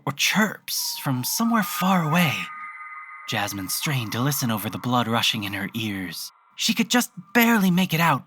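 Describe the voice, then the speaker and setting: A narrator of uncertain sex telling a horror audio drama in scripted, deliberate storytelling phrases. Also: medium pitch, a bit bright, slight background noise.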